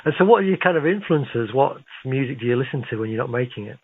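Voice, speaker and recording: A man's voice: medium pitch, animated, quick pace, a little hiss or hum. A man hosting a conversational radio interview in an encouraging, affirming manner. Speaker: radio interviewer, show host. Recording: narrow phone-like radio sound.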